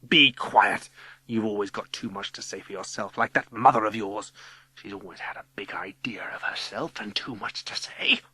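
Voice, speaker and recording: A man's voice: fairly low pitch, moderate pace, bright, forceful tone, husky, no echo, low-quality recording. A man voicing a stern, self-righteous schoolmistress in an audiobook, scolding in a haughty, spiteful way. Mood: scolding, contemptuous. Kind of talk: audiobook character acting.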